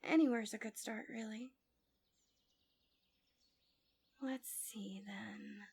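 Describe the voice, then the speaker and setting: Woman acting as fantasy villain captor, female, slightly high voice, soft, breathy, half-whispered, coaxing, doting, close-miked ASMR roleplay. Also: steady tone, a little slow. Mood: coaxing, softly probing. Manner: soothing, doting, teasing.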